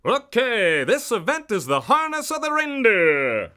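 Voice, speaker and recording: A man voicing a showy, excitable male elf who proclaims events like a ring announcer in a Christmas story. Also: extremely high pitch, unhurried, exaggerated voice. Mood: officious.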